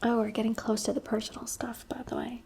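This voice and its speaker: Young woman ASMR creator from the UAE, soft unvoiced whisper, chatty and candid, faint hiss behind. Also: fairly high pitch, little pitch movement, quick pace, creaky.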